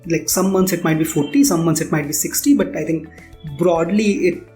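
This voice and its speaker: A man's voice: on the high side, a little fast, warm tone. Indian man, echoey interview over music. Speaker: startup founder being interviewed. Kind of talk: conversational interview answers. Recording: roomy echo, music underneath.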